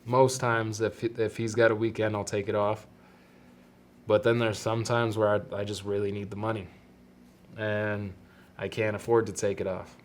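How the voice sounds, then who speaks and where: A man's voice: fairly low pitch, flat delivery, moderate pace, a little hiss or hum. A young man hosting his own podcast in casual solo chat, talking with a stuffy, congested nose in a rambling, self-deprecating way. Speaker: podcast host.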